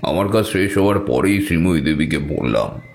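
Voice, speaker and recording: A man's voice: low voice, expressive, moderate pace, creaky. A man narrating a scripted tale dramatically in the first person as a storyteller. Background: music.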